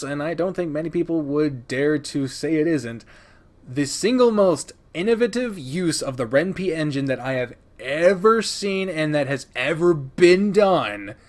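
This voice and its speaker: A man hosting a gaming channel, giving casual, opinionated commentary with emphatic delivery and frequent swearing. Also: fairly high pitch. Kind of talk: casual ranked-list video commentary.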